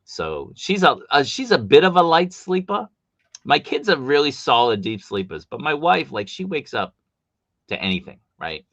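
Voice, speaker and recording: A man hosting a live stream from home, chatty and animated, with a big voice and coming down with a cold. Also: medium pitch, normal speed, no echo, highs cut off. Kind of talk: casual live stream chat.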